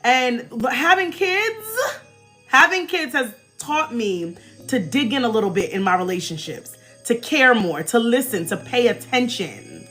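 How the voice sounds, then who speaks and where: An American woman in her forties hosting a casual, chatty livestream with animated, emphatic delivery and informal slang. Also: a little high, a little slow. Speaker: livestream host. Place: small indoor room, backdrop behind. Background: faint music.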